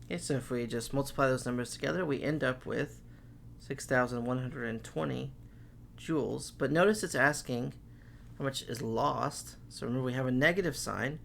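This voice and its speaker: A man's voice: mid-range voice, moderate pace, creaky, fairly bright tone, slight background noise. A man teaching chemistry, working through problems step by step and saying okay often, while losing his voice. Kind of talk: recorded classroom lecture.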